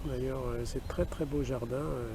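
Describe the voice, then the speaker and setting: A man's voice: medium pitch, fairly even intonation, normal speed. A man with a French accent chatting over amateur radio as an operator out in the open. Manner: spells call signs in phonetic alphabet. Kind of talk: radio contact chat. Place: outdoors in a wooded park. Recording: outdoor recording with clear background noise.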